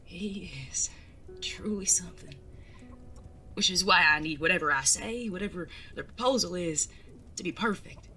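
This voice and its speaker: A woman's voice: lowish voice, normal speed, sharp tone, breathy edge, soft background music. A woman playing an anxious, earnest bandit son in an audio drama, speaking politely and formally with some hesitation. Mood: soft, affectionate.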